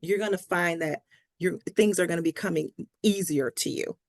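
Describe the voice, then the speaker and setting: A woman's voice: on the low side, normal speed, darkish tone, dry recording, clean studio sound. A woman speaking as a language coach in a conversational pep talk, encouraging and emphatic, repeating key phrases for stress. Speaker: Spanish language coach, interview guest.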